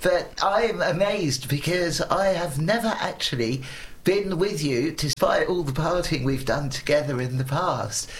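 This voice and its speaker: A middle-aged man hosting a radio show, chatting in a relaxed, unhurried way. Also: on the high side. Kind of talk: casual radio interview chat.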